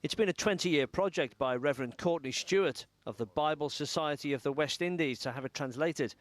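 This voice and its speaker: A man reporting a news story in a measured, formal broadcast style. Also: on the high side.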